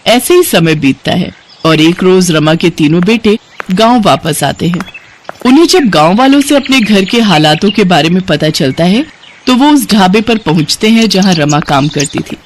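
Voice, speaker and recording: High-pitched male story narrator. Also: lively intonation, moderate pace, a little hiss or hum.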